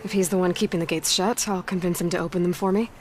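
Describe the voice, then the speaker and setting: A woman's voice: on the low side, fairly even intonation, quick pace, a little mellow, slight background noise, natural voice. A woman playing a blunt, wry, determined young warrior heroine in a video game, dry and no-nonsense. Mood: impatient, businesslike. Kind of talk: video game character acting.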